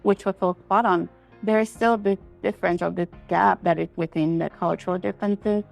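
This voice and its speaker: A woman from Liberia speaks as a guest on a talk show. Her voice is slightly low with noticeable creak, over faint music. She is reflective and hesitant, feeling her way to each point in a conversational style. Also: fairly even intonation, fairly quick. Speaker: interview guest. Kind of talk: conversational interview answers.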